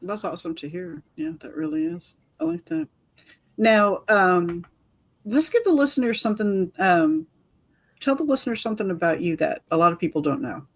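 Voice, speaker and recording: Woman, radio show host, friendly, encouraging, fillers, mid-pitched voice. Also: very animated, normal speed. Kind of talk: radio interview.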